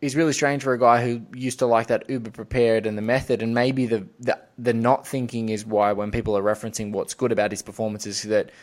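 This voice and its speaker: A man's voice: mid-range voice, little pitch movement, fast talker, few pauses. A man hosting a podcast, talking casually and effusively about film in a rambling way. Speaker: podcast host.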